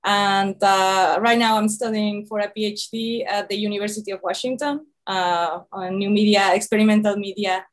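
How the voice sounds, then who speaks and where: A woman from Mexico introduces herself to an online workshop over a video call. She talks in a relaxed way, with many small fillers. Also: medium pitch, normal speed, hardly any pauses. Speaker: workshop participant. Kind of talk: self-introduction.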